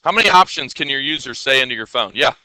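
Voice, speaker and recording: A man's voice: medium pitch, lively intonation, fast talker, pushed and bright, no room sound, rough recording. A man teaching coding in a casual screen-share tutorial. He talks in a loose, chatty way with many fillers, and keeps checking in with his listeners.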